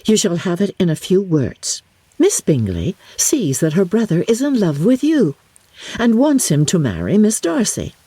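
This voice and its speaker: A woman voicing a spirited, sharp-witted young gentlewoman for an audiobook, frank and assured with a wry edge. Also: medium pitch, sing-song, moderate pace, breathy edge, no echo. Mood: firm, confident. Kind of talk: dramatic audiobook character reading.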